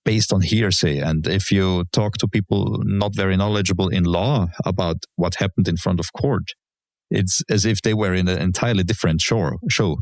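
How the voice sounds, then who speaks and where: Deep gravelly man, halting. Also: steady tone, dry recording. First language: German. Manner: hesitant, many fillers and restarts, measured explanations. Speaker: guest, legal professional. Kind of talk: relaxed conversational interview.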